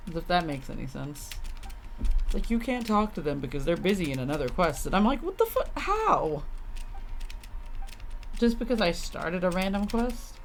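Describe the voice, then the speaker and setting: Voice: mid-range voice, vocal fry, slight background noise. A game streamer giving casual, grumbling commentary with offhand swearing while playing. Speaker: livestreamer playing a video game.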